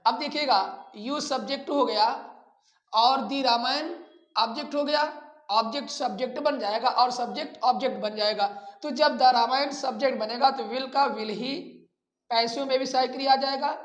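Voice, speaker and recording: A man teaching a grammar lesson, explaining step by step in a deliberate, repetitive way. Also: very high-pitched, a bit bright, highs cut off. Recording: echoey room.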